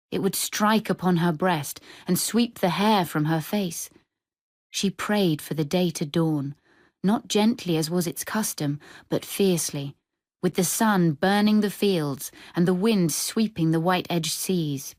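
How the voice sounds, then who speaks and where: A woman reading literary fiction for radio in a measured, descriptive storytelling manner. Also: on the low side, darkish tone, no room sound, clean studio sound. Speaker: short story reader. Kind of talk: scripted literary narration for radio drama.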